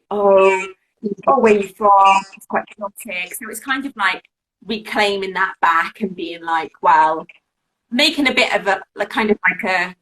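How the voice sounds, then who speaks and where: A woman's voice: medium pitch, fairly bright tone, slight background noise, rough recording. A woman from Wales, a guest on a live video-call interview, talking casually with many fillers and restarts. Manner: chatty, lots of fillers and restarts.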